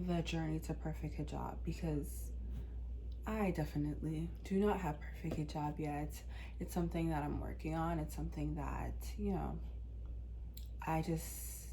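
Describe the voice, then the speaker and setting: A young woman in her twenties, from Washington, D.C., talking straight to her camera in a relaxed, candid vlog. Her voice sits a little on the low side and she rambles with lots of "like" and "um", with a faint hiss behind her. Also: poor audio. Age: 20s. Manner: candid, chatty, many fillers like "um" and "like". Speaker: vlogger. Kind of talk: casual personal storytelling.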